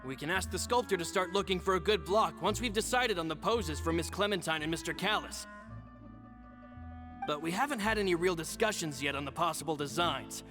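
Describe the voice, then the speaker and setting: A man's voice: sits high, brisk, music in the background, lightly acted. A man voicing a loyal, polite young assistant in a video game, speaking in a formal, courteous way.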